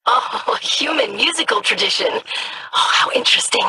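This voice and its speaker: Lilting, curious young alien. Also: female voice, fairly high pitch, expressive, normal speed, sharp tone, slight background noise, rough recording. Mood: fascinated, concerned. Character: curious, earnest young female alien scholar. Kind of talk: video game character acting.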